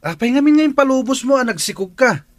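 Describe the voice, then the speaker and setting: An actor playing a callous, dismissive young boyfriend in a radio drama, speaking brusquely with a Filipino accent. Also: male voice, very high voice, expressive, fast, no room sound, studio quality. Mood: scornful.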